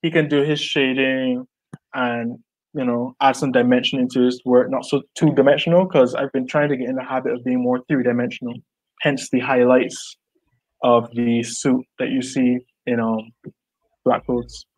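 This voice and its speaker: A young man from Barbados speaks in a mid-pitched voice, earnest and thoughtful, circling back and restarting his phrases as he works out his thoughts. He is on a video call through earbuds from a small room at home. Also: flat delivery, normal speed, darkish tone, poor audio. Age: young adult. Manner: earnest, reflective, many likes and restarts. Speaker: artist guest. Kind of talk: casual video call interview.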